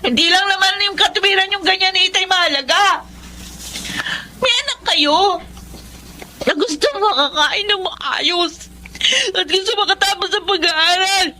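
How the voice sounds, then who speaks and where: A woman's voice: very high voice, unhurried, sharp tone, slight background noise, full character voice. A woman acting a resentful, ambitious young student from a poor family in a Filipino radio drama, complaining and scornful. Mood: scornful, angry.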